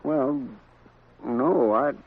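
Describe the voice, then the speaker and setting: An actor playing an earnest, simple Western deputy in a 1950s radio drama, with a rural American drawl and hesitant, plain-spoken manner. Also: male voice, mid-range voice, drawn-out words, slight background noise. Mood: puzzled, defensive. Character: earnest, simple, folksy deputy to a frontier marshal. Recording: thin, phone-like old radio recording.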